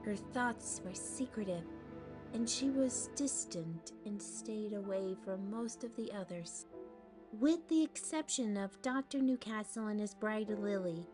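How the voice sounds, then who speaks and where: A woman with a fairly high voice tells a fantasy tale in storybook narration. She pauses now and then, chatty, to puzzle over a name. Music plays clearly behind her.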